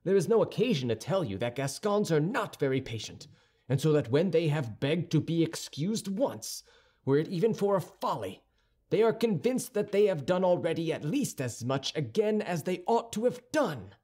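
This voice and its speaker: Male narrator as impetuous young duellist for storybook; high, animated, slightly husky, hot-tempered. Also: moderate pace. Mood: indignant, proud. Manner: impetuous, indignant, challenging. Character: hot-tempered, proud young Gascon swordsman, quick to challenge. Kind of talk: audiobook character dialogue.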